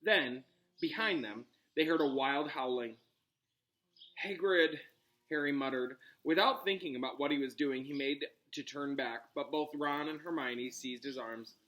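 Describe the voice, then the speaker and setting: A man reading a storybook aloud like a teacher, expressive and dramatic, pausing on key words. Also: medium pitch, poor audio.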